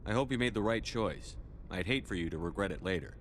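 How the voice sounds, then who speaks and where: An actor playing a military starship commander in a game, firm and steady, with clipped authority. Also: male voice, lowish voice, fairly quick, slight background noise. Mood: measured.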